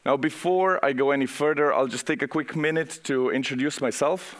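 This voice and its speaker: A man's voice: medium pitch, brisk, a bit bright. Slovenian-accented man giving a conference presentation. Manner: informal, conversational, addressing the audience directly. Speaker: conference speaker, software architect. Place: conference session room, on stage.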